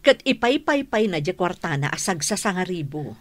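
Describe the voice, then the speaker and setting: Radio drama, older female servant, slightly low pitch, deferential. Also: very animated, moderate pace. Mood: respectful, gossipy. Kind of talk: Philippine radio drama acting.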